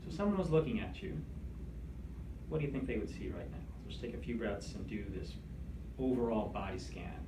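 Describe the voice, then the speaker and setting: A man leading a meditation class, speaking in a gentle, soothing, conversational way. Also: lowish voice, animated, fairly quick. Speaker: meditation instructor. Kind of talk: guided meditation instruction. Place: room with attendees seated in chairs. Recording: echoey room, distant mic, background noise.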